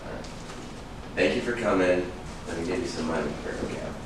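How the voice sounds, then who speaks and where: A man's voice: sits low, brisk, natural voice. Echoey, distant actor as a pushy seductive man. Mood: insistent. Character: pushy, seductive, messy man working on a woman. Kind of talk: staged reading of a stage drama. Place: echoey indoor room at a staged reading. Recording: echoey room, distant mic, noisy.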